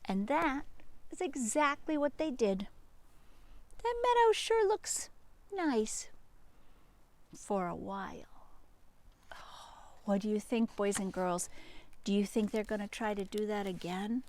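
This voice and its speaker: A woman's voice: medium pitch, sing-song, takes it slowly, pauses often, a little mellow. A woman hosting a children's storytime, reading aloud and speaking directly to young listeners with questions and asides. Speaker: storytime reader and channel host.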